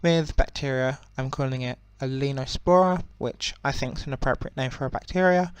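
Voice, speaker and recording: A young man with a croaky voice giving relaxed, rambling commentary on a strategy game, with many ums and self-corrections. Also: mid-range voice, brisk, no room sound. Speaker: gaming channel host.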